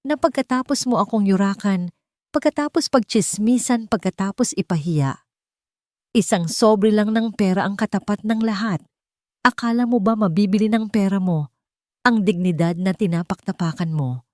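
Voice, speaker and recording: A woman's voice: medium pitch, normal speed, darkish tone, no room sound, clean studio sound, natural voice. A woman voicing a proud, indignant young working woman in a dramatic audio story. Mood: angry, wounded.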